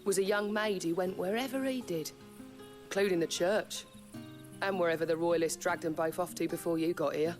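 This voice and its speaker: A woman's voice: lowish voice, normal speed, darkish tone, faint music behind, close to an everyday voice. An actress playing a plain-spoken village woman in a video game, with rustic, colloquial speech. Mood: matter-of-fact. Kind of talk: video game cutscene acting.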